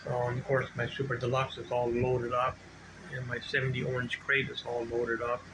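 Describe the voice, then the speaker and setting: Rambling mature man, noisy background. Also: mid-range voice. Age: middle-aged or older. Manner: rambling, halting, self-interrupting. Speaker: bicycle collector showing his collection. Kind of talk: casual unscripted video walkthrough. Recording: clear steady background noise, ambient hum.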